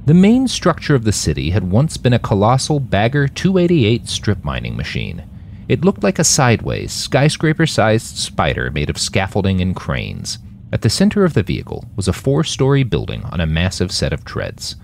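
A man reads scripted fiction as a narrator. His voice is low and moves very widely in pitch, with faint noise behind it. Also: wildly swooping pitch, normal speed, creaky, darkish tone. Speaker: author and narrator.

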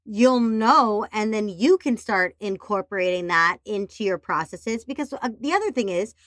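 A woman's voice: mid-range voice, expressive, normal speed, fairly bright tone, no echo, clean studio sound. A woman hosting a podcast who chats playfully and animatedly and speaks directly to the listeners. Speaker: podcast host. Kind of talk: casual conversation.

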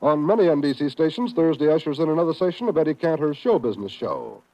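American man, vintage network announcer, animated, emphatic, slightly high, dull old recording. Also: quick pace. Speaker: radio announcer. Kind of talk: 1950s network radio announcing, scripted promotion.

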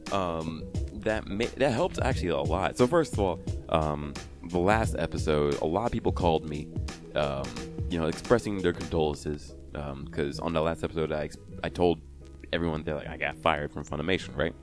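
A man's voice: rumbling low, normal speed, music in the background. A young man hosting a podcast in casual chat, rambling and laughing with lots of fillers, his voice still worn from a trip. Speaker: podcast host.

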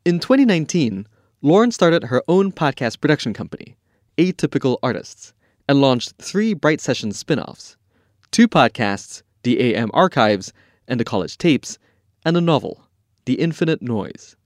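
A man's voice: mid-range voice, big pitch swings, normal speed, no room sound, studio quality. A man hosting an interview podcast, moving between narration and conversational questions.

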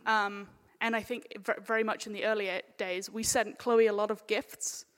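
A woman's voice: mid-range voice, level tone, moderate pace, fairly bright tone. A woman talking casually on a live panel, chatty and informal, slipping into imagined quotes as she explains. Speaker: panel speaker, audio drama maker. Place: hall at a live convention panel. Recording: echoey hall with long reverb.

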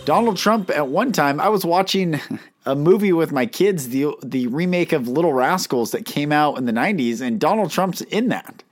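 A man's voice: on the high side, lively intonation, normal speed, faint music behind. A man hosting a solo talk episode, earnest and emphatic, asking his listeners questions; he cannot roll his R's. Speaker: podcast host and life coach.

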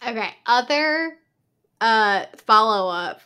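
A woman hosts a podcast interview, firing off eager follow-up questions. Her voice is fairly high and bright. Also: lively intonation, clean tone. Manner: enthusiastic, asks quick follow-up questions. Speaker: podcast host. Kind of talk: casual interview chat.

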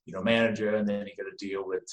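A man's voice: on the low side, little pitch movement, fast talker. A middle-aged man with a Danish accent, talking casually as a guest in an interview. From Denmark. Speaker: podcast guest, real estate investor. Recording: roomy, echoing sound.

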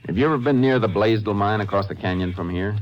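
An actor playing a calm, authoritative Texas Ranger in a 1950s radio western, firm and terse, with an American accent. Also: male voice, lowish voice, quick pace, dull audio, close to an everyday voice. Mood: firm, questioning. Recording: very noisy old radio recording.

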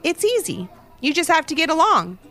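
A woman voicing a kind, mysterious ice cream man in a children's story, speaking calmly with a knowing air. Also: high voice, moderate pace, faint music behind, pitched above the performer's own voice, full character voice.

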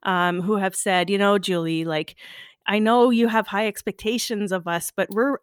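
A woman's voice: medium pitch, steady tone, moderate pace, smooth, no echo, clean studio sound. A woman hosting a casual conversational interview in an encouraging way. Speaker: interview host, science streamer.

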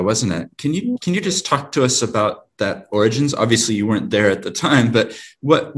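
A man from New Zealand hosting an interview, speaking in a warm, encouraging way. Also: on the low side. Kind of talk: conversational interview.

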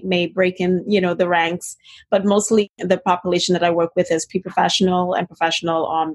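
A woman's voice: fairly low pitch, husky. A middle-aged woman from Trinidad and Tobago with a slight Trinidadian accent, a physical therapist answering interview questions in an earnest, articulate way.

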